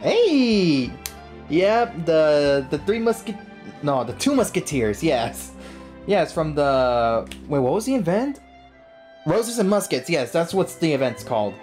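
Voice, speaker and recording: Man, gaming YouTuber, very lively intonation, unhurried, casual, excitable, reactive, game music behind. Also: on the high side. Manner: excitable, reactive, repeats himself. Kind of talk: casual game playthrough commentary.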